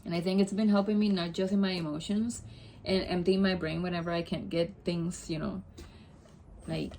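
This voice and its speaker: A young woman from Puerto Rico whose first language is Spanish talks to her viewers in a relaxed, rambling way. Her voice sits a little low, and she wanders into asides and corrects herself mid-thought. There is a faint hiss behind her. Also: vocal fry. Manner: chatty, rambling, many asides and self-corrections. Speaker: vlogger. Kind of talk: casual chat to camera.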